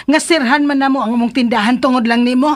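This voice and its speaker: Radio drama mother, woman actor: high-pitched, Cebuano accent, suspicious, scolding, protective of her grown son. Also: fairly even intonation, quick pace, lightly acted. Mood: annoyed. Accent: Filipino Cebuano. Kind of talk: Philippine radio drama acting.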